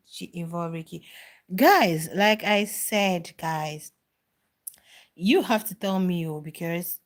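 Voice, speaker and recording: A middle-aged woman talking casually on a live stream about a reality show, answering viewers' comments and repeating phrases for emphasis. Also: lowish voice.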